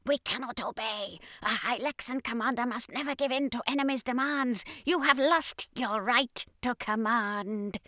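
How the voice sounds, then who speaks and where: A woman playing a stern, defiant octopus-like alien second-in-command with a slow, scolding delivery, in a story. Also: fairly high pitch, bright, forceful tone, dry recording, clean studio sound, pitched above the performer's own voice.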